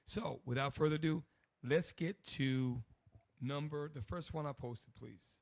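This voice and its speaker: A man, hoarse today, hosting a loose, rambling preaching commentary. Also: fairly high pitch, measured pace, slight background noise. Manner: loose and rambling, many restarts. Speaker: host.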